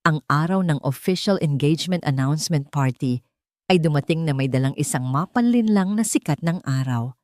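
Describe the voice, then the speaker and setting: A woman's voice: low voice, animated, moderate pace, darkish tone, no room sound. A woman narrator reading a scripted romance story in a dramatic storytelling style.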